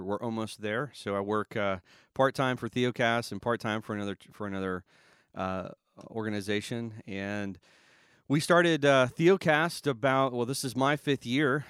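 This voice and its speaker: Relaxed pastor, man, smooth dry voice. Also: medium pitch, no room sound. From Southern California. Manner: relaxed, rambling with fillers and chuckles. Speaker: pastor and podcast guest. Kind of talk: casual podcast interview.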